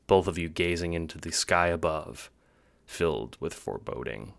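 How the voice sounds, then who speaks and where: A man's voice: low voice, animated, normal speed, creaky, a bit bright, no echo. A man giving scripted narration for an audio book styled as a drama. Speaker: narrator, writer and producer.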